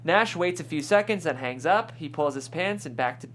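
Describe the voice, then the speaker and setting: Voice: medium pitch, expressive, normal speed, a bit bright, a little hiss or hum. A person reading screenplay stage directions aloud as scripted narration at a table read.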